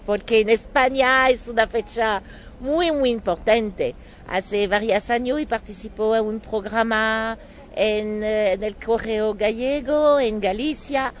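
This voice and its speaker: French lady w/ french accent, talks slow, hunting for words in a casual interview, noise behind her. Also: on the high side. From France. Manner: halting, searching for words in non-native Spanish. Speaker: interview guest, entrepreneur and bit-part actress.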